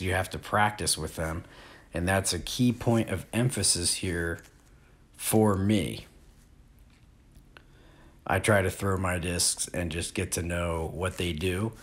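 A man in his forties giving a relaxed, plain-spoken disc golf lesson outdoors in an open field, recorded on a nearby camera. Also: low voice. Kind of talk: outdoor instructional talk.

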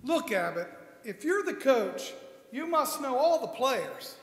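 A man playing an excitable, childlike, whiny comic sidekick in an old-time radio comedy sketch. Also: very high voice, slow, full character voice. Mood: puzzled. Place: stage in a church-like hall, live show. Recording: echoey hall.

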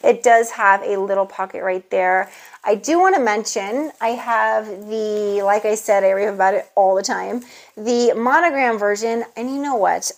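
A woman in her thirties gushing to viewers as she unboxes handbags in a casual vlog, on a lapel mic indoors. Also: mid-range voice. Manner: gushing, enthusiastic, addresses viewers as "you guys". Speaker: luxury handbag vlogger. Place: indoor room with handbag shelves. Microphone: clip-on lapel mic.

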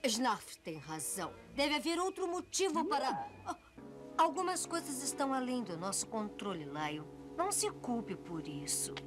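A woman's voice: sits high, very animated, moderate pace. A woman voicing a resolute, brave cartoon warrior heroine. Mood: determined. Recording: old cartoon soundtrack with music.

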